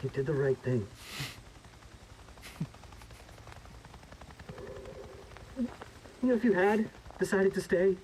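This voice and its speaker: A nervy government man in a game drama, with a fairly high voice. He is defensive and halting, pleading as he delivers grim news. Clear background noise surrounds him. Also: very animated, a little mellow, poor audio. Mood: apologetic. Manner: halting, pleading, self-justifying. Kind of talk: dramatic video game acting.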